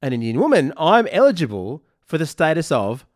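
A man's voice: fairly high pitch, huge pitch swings, a little fast, no echo. A man hosting a podcast, teasing his co-host with wry, dry humor in casual chat.

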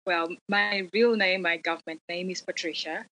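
A woman from Uganda, a fashion model and guest, telling her story in a plain, steady way. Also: fairly low pitch, normal speed, poor audio. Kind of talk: casual conversation.

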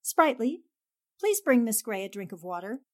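A woman playing a polite, cautious newspaperwoman in a 1940s-style radio drama, measured and reserved. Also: a little high, big pitch swings. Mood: courteous.

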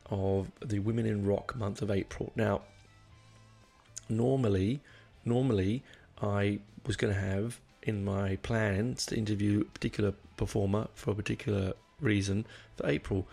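A low-voiced man with a gravelly, husky croak from a lingering cold chats loosely as a podcast host. He rambles along with plenty of ums and restarts, while faint music plays behind him. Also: a little mellow. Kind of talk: casual podcast chat.

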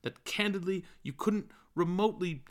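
A man's voice: high voice, moderate pace, voice pushed up, natural voice. A man voicing an earnest, mild-mannered middle-aged man in an audiobook, wordy and moralizing as he tries to reason and persuade. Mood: earnest, emphatic. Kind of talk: literary audiobook dialogue.

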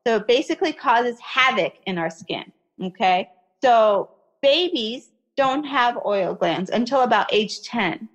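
A woman's voice: fairly high pitch, expressive, a little slow. A woman raised in America by Iraqi parents, explaining skin care in a chatty interview and often checking in with "okay?" and "you know". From Iraqi family, raised in America. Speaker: skincare expert guest.

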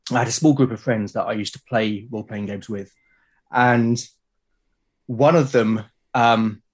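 A middle-aged man with a southern English accent, chatting and telling stories as an interview guest in a hesitant, reflective way. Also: mid-range voice. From South London, UK. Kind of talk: casual conversational storytelling.

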